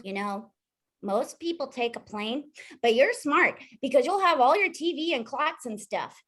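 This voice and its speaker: Casting: woman as chatty cartoon boy scout, high-pitched, animated, earnest and innocent. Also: unhurried, full character voice. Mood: amazed, curious. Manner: earnest, wide-eyed. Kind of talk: amateur table read of an animated film script.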